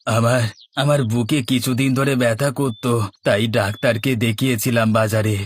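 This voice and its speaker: A man's voice: mid-range voice, steady tone, normal speed, lightly acted. A man playing a weary, scheming village husband in a cartoon, speaking in a plain, earnest manner. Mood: sorrowful. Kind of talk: Bangla cartoon dialogue acting.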